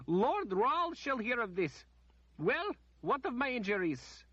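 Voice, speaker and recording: A man voicing a haughty, shrill noblewoman who shrieks and whines petulantly. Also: sing-song, takes it slowly, pushed and bright, no room sound, muffled recording, heavily acted. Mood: imperious.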